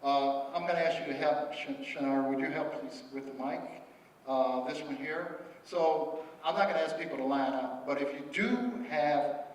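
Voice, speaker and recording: A man presenting at a public meeting, speaking with halting restarts and repeated words. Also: a little high. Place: large echoey meeting hall. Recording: echoey hall audio from far off, faint noise.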